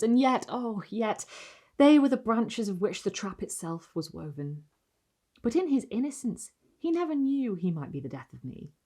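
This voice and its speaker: A woman reading gothic literary prose aloud as dramatic narration, with expressive, deliberate phrasing and pauses. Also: on the low side. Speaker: reader of literary short stories.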